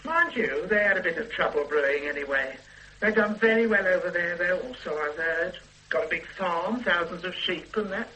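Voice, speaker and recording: A gossipy old shopkeeper. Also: male voice, high voice, moderate pace, sharp tone, slight background noise, low-quality recording. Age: 60s. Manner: gossiping, knowing. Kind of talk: 1970s radio drama acting.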